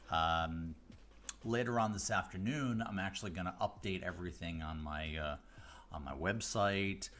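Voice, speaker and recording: A man from the Chicago area, a mentalist hosting a live stream, chatting casually with viewers on a close studio mic, rambling with fillers and restarts but slowing down with deliberate pauses. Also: low-pitched, fairly bright tone. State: voice deeper than usual today. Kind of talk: casual chat answering viewer questions. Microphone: close studio microphone.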